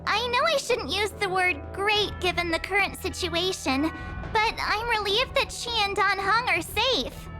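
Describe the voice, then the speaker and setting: A woman's voice: very high voice, animated, unhurried, music in the background. A woman voicing a sweet, anxious young girl adventurer in a game. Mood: hesitant relief. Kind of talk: dramatic game voice acting.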